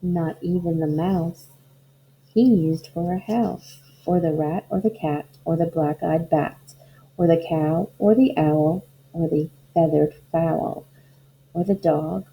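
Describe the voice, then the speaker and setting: Female primary teacher, slow and deliberate storybook delivery, slightly dull recording with faint noise. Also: lowish voice, gravelly. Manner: slow, simple, deliberate phrasing addressed to children. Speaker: elementary school teacher. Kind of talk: children's storybook read-aloud and instructions.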